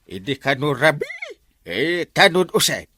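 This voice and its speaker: A man acting a comically slow-witted village captain in an Ilocano comedy drama, with an Ilocano accent. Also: on the high side, big pitch swings, sharp tone, no room sound, clean studio sound, full character voice. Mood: reporting.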